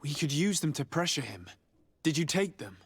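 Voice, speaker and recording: An actor playing a formal, diplomatic noble envoy in a video game, speaking in a courteous, measured way. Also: male voice, fairly high pitch, big pitch swings, slightly rough, full character voice. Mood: serious. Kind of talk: video game cutscene acting. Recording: background music under the voice.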